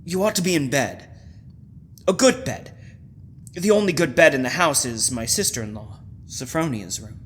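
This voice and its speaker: An actor playing a deranged, obsessive hotel keeper in a radio thriller, halting and softly insinuating with mock concern. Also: male voice, medium pitch, expressive, a little hiss or hum, natural voice. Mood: quietly menacing. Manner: halting, softly insinuating, wide emotional swings. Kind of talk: old-time radio thriller acting.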